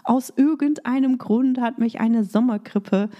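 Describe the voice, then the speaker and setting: Woman podcast host, mellow fairly high voice, slightly hoarse from a cold, upbeat coaching delivery. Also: measured pace, highs cut off. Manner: upbeat coaching tone, with fillers in free talk. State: recovering from a cold, voice slightly rough. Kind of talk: scripted intro then casual monologue.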